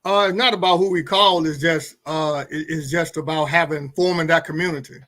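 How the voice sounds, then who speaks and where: A man's voice: on the high side, steady tone, brisk, bright, forceful tone. A middle-aged man speaking earnestly as a guest on a talk show, often repeating words as he forms his thoughts. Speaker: guest pastor and co-host.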